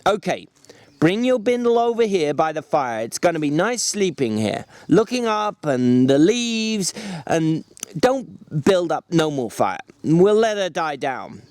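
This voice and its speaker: A sharp, bossy ranch hand who looks out for his partner, as a male reader acts him. He speaks in plain folksy talk, pitched up, giving instructions in a dramatic storybook telling. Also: very animated, measured pace, no echo. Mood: firm.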